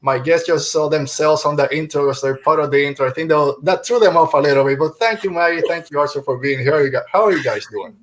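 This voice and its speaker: A man's voice: fairly high pitch, fast, few pauses, a bit bright. A man hosting a podcast in a casual, rambling, joking way. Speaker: podcast host.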